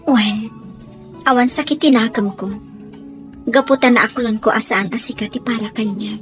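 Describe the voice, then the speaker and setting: A woman's voice: a little high, moderate pace, a bit bright, soft background music, lightly acted. A woman playing a humble, hesitant young working woman in love, in an Ilocano radio drama, with an Ilocano accent. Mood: sad, hesitant.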